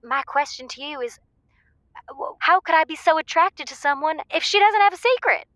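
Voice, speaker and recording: A woman playing an excitable, dramatic radio caller obsessed with secretive lovers, in a comedic audio drama. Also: high voice, normal speed, no room sound, poor audio. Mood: excited. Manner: gushing, dramatic, emphatic delivery.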